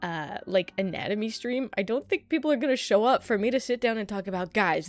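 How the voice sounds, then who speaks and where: A woman streamer talks casually to her chat with a voice that still sounds sleepy. Her speech is loose and chatty, and she swears freely and laughs often. Soft music plays faintly underneath. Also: mid-range voice. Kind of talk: casual livestream chat while setting up a 3D model.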